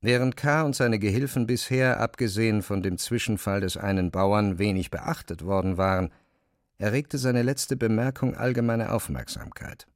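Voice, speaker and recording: A man's voice: lowish voice, moderate pace, dry recording, studio quality. A man reading scripted literary narration as the narrator of an audio drama.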